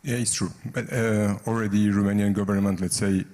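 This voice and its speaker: A man's voice: lowish voice, fairly even intonation, a little fast, darkish tone. A man moderating a conference discussion in a hesitant, conversational way, recorded with long hall reverb. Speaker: panel moderator.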